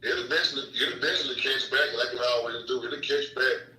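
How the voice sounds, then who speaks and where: Bright-voiced man in his forties. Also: medium pitch, a little fast. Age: 40s. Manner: relaxed, repeats words while speaking. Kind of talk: casual call-in chat. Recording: roomy, distant, faint noise.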